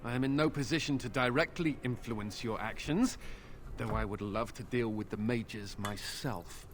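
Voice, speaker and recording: A man's voice: mid-range voice, moderate pace, close to an everyday voice. An actor playing a gloomy imprisoned man in a video game, speaking in a grave, ominous way. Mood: measured. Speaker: game character.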